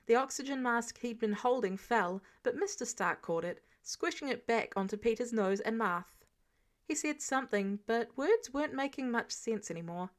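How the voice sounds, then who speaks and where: A woman reading a scripted story as an audiobook narrator, expressively and acting out the dialogue. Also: a little high. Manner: acts out dialogue. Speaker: audiobook narrator of fan fiction.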